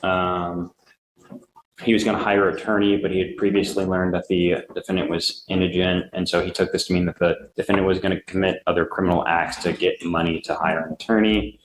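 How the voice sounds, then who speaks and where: A man reporting formally to a judge as prosecutor in an echoey courtroom, halting and matter-of-fact. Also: sits low, little pitch movement. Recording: echoey courtroom, faint noise.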